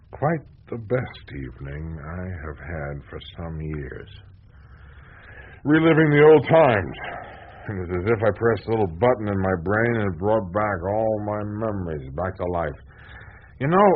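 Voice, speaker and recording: An actor playing a world-weary, hard-drinking newspaperman in a radio drama, husky and wry. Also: on the low side, slight background noise. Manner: wry, sardonic. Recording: dull, lo-fi radio recording.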